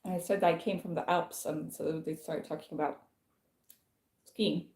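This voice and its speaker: Young female streamer, low-ish voice, loose filler-heavy delivery, recorded in a reverberant room. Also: fairly even intonation, measured pace, darkish tone. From France. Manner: rambling, many fillers and restarts. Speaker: channel host. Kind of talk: casual livestream chat.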